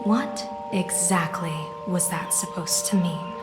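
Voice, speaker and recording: A woman playing a calm, shrewd strategist in a video game, speaking in a measured, formal way. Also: lowish voice. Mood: probing. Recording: echoey hall reverb, music behind.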